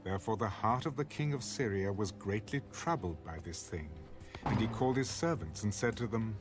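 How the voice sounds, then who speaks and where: An actor as a solemn storyteller, giving scripted dramatic narration of scripture. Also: male voice, lowish voice, animated, moderate pace, close to an everyday voice. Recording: clear music under the voice.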